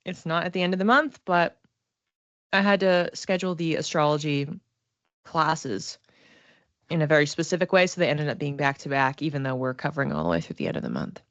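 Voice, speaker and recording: A woman giving a casual livestream psychic reading, talking to viewers conversationally and thinking aloud in halting phrases. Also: deep voice, lively intonation, fairly bright tone, dry recording, muffled recording. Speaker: psychic reader and class teacher.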